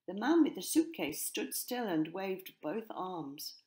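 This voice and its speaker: A woman narrating a children's storybook expressively, in measured phrases that sometimes drop to hushed tones. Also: mid-range voice, very animated. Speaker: author narrating her own story.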